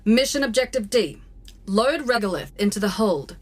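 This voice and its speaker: An actress playing a by-the-book, easily exasperated female starship captain, brisk and bossy, in a comedic sci-fi audio drama. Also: medium pitch, expressive, lightly acted. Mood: brisk, official.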